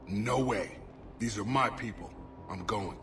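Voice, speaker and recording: Low-voiced, earnest soldier, noisy background. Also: male voice, unhurried. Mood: determined. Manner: earnest, plainspoken. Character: weary, principled male soldier protecting his people. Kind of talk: dramatic video game acting.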